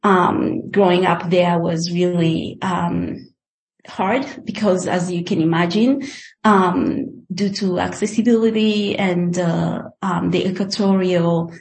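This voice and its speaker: A young woman from the Democratic Republic of Congo, a guest telling her life story in an interview, speaking hesitantly with many pauses and fillers. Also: on the low side, fairly even intonation, a little mellow.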